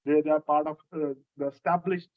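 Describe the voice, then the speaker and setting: Man teaching, slightly high voice. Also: brisk, muffled recording. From Lahore, Pakistan. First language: Urdu. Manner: explanatory, steady, repeats key phrases. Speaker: instructor. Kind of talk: answering a student's question in an online class.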